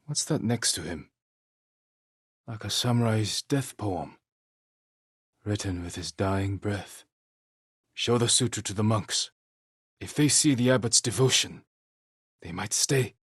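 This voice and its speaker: Low, husky samurai warrior. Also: male voice, dry recording, studio quality. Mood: grave, focused. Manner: measured, grave, short clipped phrases. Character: solemn, resolute male samurai warrior fighting invaders. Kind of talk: dramatic video game character dialogue.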